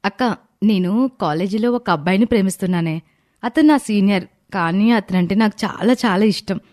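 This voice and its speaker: A woman acts out a young woman in a family moral tale. Her voice sits at an ordinary female pitch, delivered as dramatized storybook dialogue. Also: normal speed, darkish tone, close to an everyday voice. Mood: anxious.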